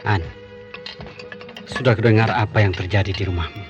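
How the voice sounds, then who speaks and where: An actor playing a persistent, teasing hospital colleague and suitor in an Indonesian radio drama, familiar and needling. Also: male voice, on the low side, moderate pace, close to an everyday voice. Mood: needling, flirtatious. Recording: dull radio recording, clear music behind.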